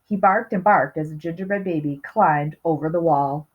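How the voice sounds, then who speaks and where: A woman's voice: sits low, normal speed, slightly rough. Woman reading aloud, slightly muffled recording. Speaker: storybook reader. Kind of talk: children's read-aloud narration with asides. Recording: slightly dull, lo-fi sound.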